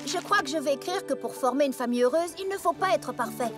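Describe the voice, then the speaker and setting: Sincere country mare for animation: earnest, down-to-earth, lively high voice over loud music. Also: female voice, fast. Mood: reflective, warm. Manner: earnest, plain-spoken. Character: sincere, down-to-earth young female farm pony. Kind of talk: animated cartoon dub.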